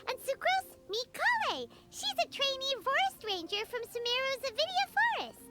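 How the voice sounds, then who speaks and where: A chirpy little fairy companion, bubbly and forthright. The voice sits very high and leaps wildly up and down, and bright music plays behind it. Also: female voice, very animated, moderate pace. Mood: cheerful. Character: tiny floating female fairy companion, chirpy. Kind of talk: game voice acting.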